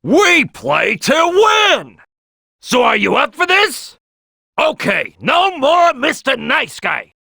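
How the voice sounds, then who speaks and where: Big cocky croc game character, bright punchy voice, swoops all over, loud and boastful. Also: male voice, very high-pitched, huge pitch swings, creaky, no room sound, studio quality, heavily acted. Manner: loud, swaggering, boastful, drawn-out delivery. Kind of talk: exaggerated cartoon game voice lines.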